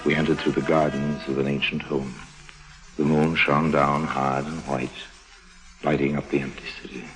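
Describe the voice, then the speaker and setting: Low-voiced suave radio schemer. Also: male voice, little pitch movement, normal speed, fairly bright tone, poor audio. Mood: sly, confiding. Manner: urbane, smoothly insinuating. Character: suave middle-aged scheming swindler, smooth charmer and narrator. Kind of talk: 1950s radio drama acting, wry confidential narration. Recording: vintage radio recording with faint music.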